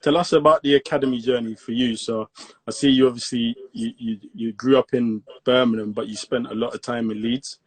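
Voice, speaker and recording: English man, live-stream host, husky tone, easygoing "bro" chat. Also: medium pitch, fairly even intonation, fairly quick, few pauses. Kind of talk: casual interview on a live stream. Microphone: video call.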